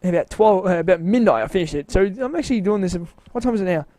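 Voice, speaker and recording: A man's voice: sits high, expressive, fast, warm tone, no room sound. A man giving a casual, rambling walkthrough of his game build, tired and with his voice wearing out, hesitant and mocking himself.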